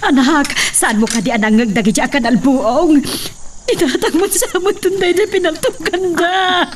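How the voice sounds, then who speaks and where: A woman's voice: high-pitched, normal speed, noisy background, close to an everyday voice. An actress playing a loving, anxious mother with pleading emotion in a melodramatic radio drama. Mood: distraught.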